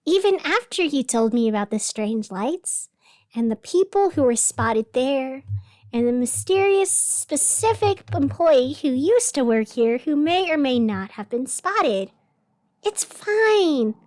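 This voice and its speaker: An actress playing an anxious radio host in a scripted fictional broadcast, deliberate and emphatic with strained cheerfulness. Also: female voice, high voice, sing-song, darkish tone, no room sound, heavily acted. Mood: nervous denial. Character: anxious woman radio host insisting everything is fine.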